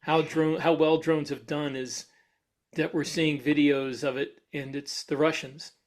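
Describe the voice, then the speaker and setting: A man's voice: on the high side, flat delivery, measured pace. A man co-hosting a podcast, speaking in a casual, inquisitive, conversational way. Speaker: podcast co-host. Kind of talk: casual discussion.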